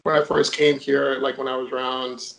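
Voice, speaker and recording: A man's voice: medium pitch, little pitch movement, moderate pace, creaky, fairly bright tone, poor audio. A young man from Jamaica, a guest in a casual interview, telling his story chattily with many fillers.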